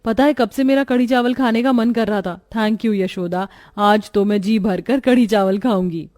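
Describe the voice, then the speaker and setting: A woman playing a gentle pregnant mother. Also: mid-range voice, moderate pace, natural voice. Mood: affectionate. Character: kind pregnant young mother.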